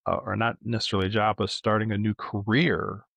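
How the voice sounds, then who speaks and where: A middle-aged man co-hosting a podcast in casual, reflective conversation, thoughtful and halting, often checking in with a questioning tag. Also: fairly low pitch, flat delivery, creaky, fairly bright tone, no room sound. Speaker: podcast co-host.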